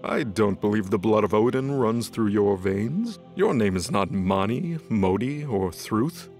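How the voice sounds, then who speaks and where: A man's voice: on the low side, animated, measured pace, a little mellow, faint music behind. A man playing a haughty, flippant emperor god in an audio drama, speaking with bored disdain and theatrical sighs. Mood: scornful.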